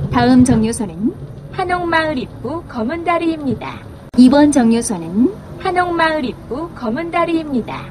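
A woman's voice: high voice, noisy background. A woman reading scripted, pre-recorded bus stop announcements.

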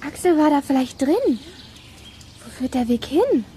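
A woman's voice: high-pitched, sing-song, a little slow, dark tone. A woman playing an eager, worried young girl detective in a children's audio drama. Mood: curious. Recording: outdoor ambience noise behind.

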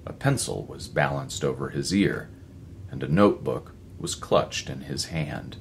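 A man in his fifties reading a story as a voice actor, in a measured, expressive, dramatic narration. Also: extremely low pitch, gravelly, a little hiss or hum. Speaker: voice actor, audiobook reader. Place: living room, indoors.

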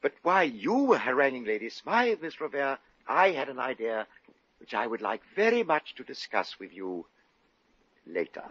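An actor playing a genteel British shop owner, courtly, halting and full of flattery, in a 1950s radio comedy. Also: male voice, medium pitch, slightly rough, no room sound. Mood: amused, fussy. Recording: muffled vintage 1950 radio recording.

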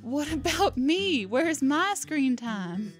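A vain fine lady of a visual novel, acted out by a woman, speaks in a grand and affronted way. Her voice is fairly high and theatrical, with music behind it. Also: very animated, slow, heavily acted. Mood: indignant, haughty.

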